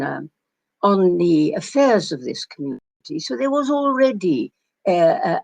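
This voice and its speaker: An older woman from Palestine giving a measured, reflective conference keynote, pausing often with fillers as she speaks. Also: lowish voice, gravelly, husky, poor audio.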